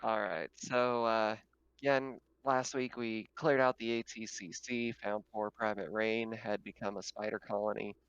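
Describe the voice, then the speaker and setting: A man with a lowish, fry-laden voice runs a tabletop game. He explains and recaps in a casual way, often pausing to think out loud. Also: level tone, dry recording, lo-fi sound. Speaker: game master.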